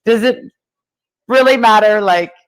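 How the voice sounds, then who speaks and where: A man chatting casually and teasingly in an animated, insistent way, often repeating his phrases. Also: sits high, measured pace, a crowd in the background. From Georgia, United States.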